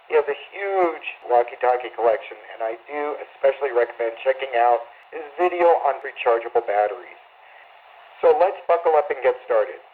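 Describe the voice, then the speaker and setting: A man's voice: medium pitch, expressive, moderate pace. Man narrating through a tinny radio-like channel. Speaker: hobbyist presenter. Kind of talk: scripted introduction narration. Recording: tinny phone-like radio sound.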